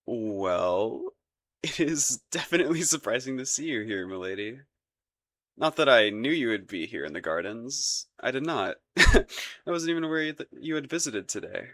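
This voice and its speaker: A man's voice: medium pitch, very animated, measured pace, a bit bright, heavily acted. A man playing a flustered, lovestruck young crown prince in a romantic audio roleplay, stammering and rambling nervously in formal courtly wording. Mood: flustered, sheepish.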